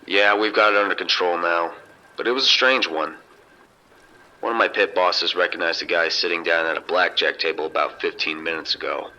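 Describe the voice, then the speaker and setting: A man playing a seasoned, unrattled casino security chief giving measured, detailed reports over a two-way radio in an audio drama. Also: sits low, steady tone, sharp tone, full character voice. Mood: grave, composed. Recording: dull, noisy two-way radio sound.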